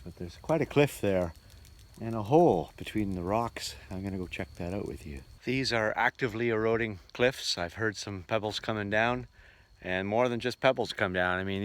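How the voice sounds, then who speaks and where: A man's voice: on the low side, normal speed. A man narrating a casual travel vlog as a touring musician.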